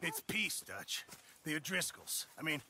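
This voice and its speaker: A blustering fat camp fellow in a game cutscene, eager to please and rambling. His voice is rather high and extremely bright, with faint noise behind. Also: very animated, measured pace, full character voice. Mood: nervous bluster. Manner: boastful, rambling, nervous. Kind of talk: dramatic video game acting.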